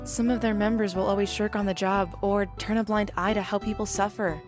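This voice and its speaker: A woman's voice: medium pitch, little pitch movement, fairly quick, music in the background, lightly acted. A woman playing a cynical, sardonic young woman in an audio drama, with a dry, blunt manner.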